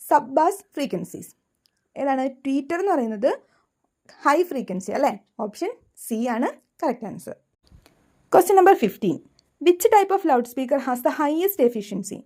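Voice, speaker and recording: A woman with a Malayali accent working through a scripted quiz lesson as an exam tutor, reading items out in a deliberate, enunciated way. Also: sits high, dark tone.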